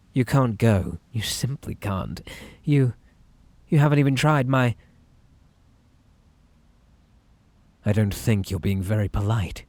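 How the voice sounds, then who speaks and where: A man acts out an unhinged, possessive bunny boy hosting a tea party, with a British accent. The voice is low and breathy, swooping widely in pitch. The delivery is theatrically polite and coaxing, with menace underneath, in an ASMR roleplay style. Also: a little mellow, no echo, heavily acted. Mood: tense, insistent.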